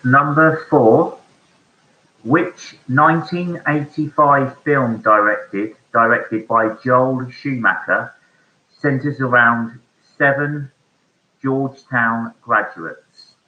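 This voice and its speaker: A man hosting an online pub quiz, reading each question slowly and repeating it. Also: mid-range voice, fairly even intonation. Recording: echoey room, dull lo-fi sound.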